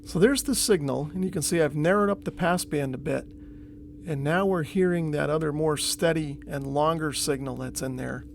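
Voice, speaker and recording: A man's voice: on the high side, moderate pace, vocal fry, a little hiss or hum. A man hosting a hobbyist video, explaining step by step in a tentative, non-expert way as he walks through what is on screen. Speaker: radio hobbyist video host.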